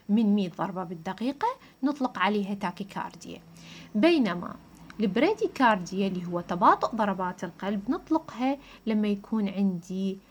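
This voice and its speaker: Iraqi woman lecturer, didactic and repetitive. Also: mid-range voice. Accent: Iraqi Arabic. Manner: didactic, repeats and restates key terms. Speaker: physiology lecturer. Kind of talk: lecture explanation mixing Arabic with English terms.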